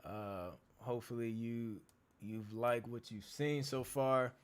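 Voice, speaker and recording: A man who is losing his voice, hoarse and clearing his throat, hosting a casual live Q&A as a music producer and chatting in a laid-back, slangy way. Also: medium pitch, fairly even intonation, slow. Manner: laid-back, slangy, reads out viewer comments. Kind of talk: casual livestream chat.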